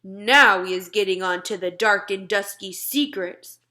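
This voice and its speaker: A woman voicing a gentle, secretive male giant from a children's storybook, with muddled grammar and whimsical made-up words. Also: mid-range voice, unhurried. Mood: mysterious. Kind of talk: children's storybook read-aloud.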